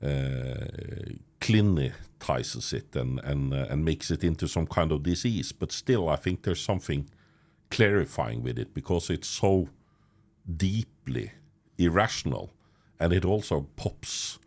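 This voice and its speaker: A man's voice: a bass voice, lively intonation, a little mellow. A man hosting a podcast interview, speaking English with many restarts and little chuckles. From Norway. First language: Norwegian. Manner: halting, many restarts, chuckles mid-sentence. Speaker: podcast host. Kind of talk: conversational interview.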